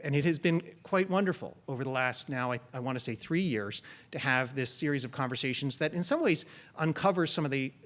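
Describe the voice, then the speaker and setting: A man, a college dean, introducing a guest and moderating a public conversation at an event, speaking in a measured way with frequent ums. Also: mid-range voice, a bit bright.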